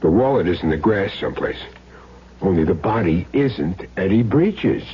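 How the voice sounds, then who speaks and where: A low, swooping American male voice as a cagey hired gun making his confession. He talks in plain street slang, earnest and self-justifying. It sounds like an old radio crime play, dull and noisy with hum. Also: expressive, moderate pace. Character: cagey small-time hired gun confessing, insisting he's clean. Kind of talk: old-time radio crime drama acting.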